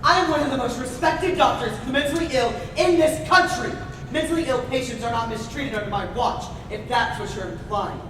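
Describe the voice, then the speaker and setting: A woman's voice: fairly quick, close to an everyday voice. A woman on stage playing a proud, stern asylum doctor, pompous, curt and commanding. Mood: stern, affronted. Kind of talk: theatrical stage acting. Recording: echoey hall from far off, rumbling noise.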